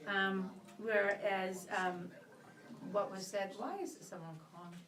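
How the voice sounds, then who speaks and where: A chatty woman co-hosts a live radio talk show, winding through long questions and laughing easily. Her voice is middle in pitch. The sound is roomy and she is heard from a distance. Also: fairly even intonation, slow pace, heavy background noise.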